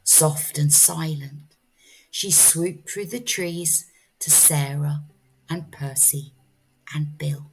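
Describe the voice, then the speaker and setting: Slow, low-voiced woman storyteller. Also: steady tone, slightly rough, low-quality recording. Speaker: storyteller and English teacher. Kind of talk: slow read-aloud storytelling for children.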